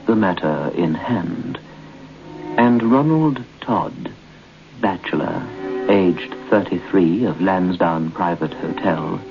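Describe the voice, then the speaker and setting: A man narrating a vintage radio suspense play in a scripted, storytelling style. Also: low voice. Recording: dull old radio recording. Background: guitar music.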